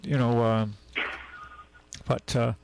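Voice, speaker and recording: A man's voice: medium pitch, little pitch movement, slow, creaky, a little mellow, clean tone. A man hosting a call-in radio show, talking bluntly and folksily with callers about cars and credit.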